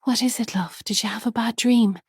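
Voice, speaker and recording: A woman voicing a patient young mother who speaks gently and reassuringly. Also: a little high, steady tone, brisk, slightly rough, no room sound, studio quality, pitched above the performer's own voice. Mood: soothing.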